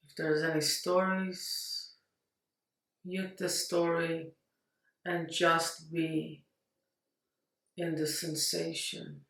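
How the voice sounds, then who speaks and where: A woman leading a guided meditation in a gentle, unhurried manner, leaving long pauses. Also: sits low, little pitch movement. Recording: roomy echo, distant mic.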